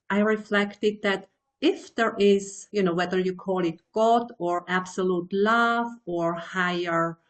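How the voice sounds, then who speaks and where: A woman in her sixties from Switzerland speaks in an unhurried, measured way. Her pitch sits in a middle range. She answers reflectively and stops now and then to find the right word. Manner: slow, thoughtful, searching for words. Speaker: guest, meditation teacher.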